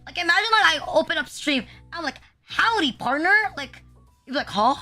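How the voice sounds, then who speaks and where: A woman's voice: high-pitched, lively intonation, fairly quick, bright, forceful tone, faint music behind. A young woman from the Philippines chatting casually on a livestream, rambling with constant fillers and frequent swearing. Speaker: VTuber streamer.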